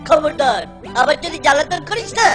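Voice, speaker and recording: Grumpy stingy cartoon shopkeeper, really high voice, mocking, music behind. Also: male voice, animated, fairly quick. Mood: irritated. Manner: scolding, dismissive, mocking. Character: grumpy, stingy, middle-aged male village sweet-seller. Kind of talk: comic cartoon acting.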